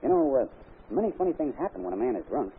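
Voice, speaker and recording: A man's voice: medium pitch, sing-song, fast talker, close to an everyday voice. A man voicing a talkative village police officer who rambles eagerly. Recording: tinny old radio broadcast, faint hiss.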